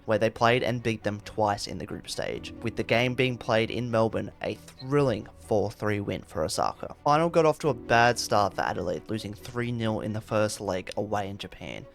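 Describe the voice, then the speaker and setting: A man's voice: lowish voice, brisk, gravelly, few pauses, faint music behind. A man reading scripted narration for a football history video.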